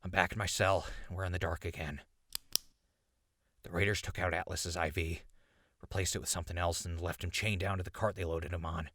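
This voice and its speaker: An actor playing a captured radio host in a post-apocalyptic audio drama, urgent and stubbornly hopeful, with a gravelly, husky voice. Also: male voice, low-pitched, flat delivery, brisk, a bit bright, dry recording. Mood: worried, urgent.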